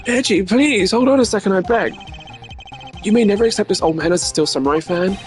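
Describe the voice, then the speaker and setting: Man voicing a high, melodramatic goofy young man. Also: fairly quick, soft background music, lightly acted. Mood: pleading. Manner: melodramatic, pleading. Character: excitable, dramatic, hot-blooded goofy young man.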